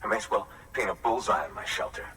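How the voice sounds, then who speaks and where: Gruff, low-voiced older loner. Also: male voice, lively intonation, quick pace, sharp tone. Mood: suspicious, grumbling. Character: gruff, wary older prepper and weapon maker. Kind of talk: video game cutscene acting. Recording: slightly distant mic, faint background noise.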